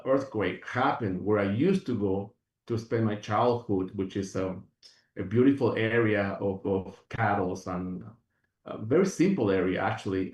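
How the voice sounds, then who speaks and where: Guest from Venezuela, earnest rambler with restarts, a bit far off the mic. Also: male voice, on the low side, roomy sound. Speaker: guest, functional medicine practitioner. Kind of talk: reflective interview talk.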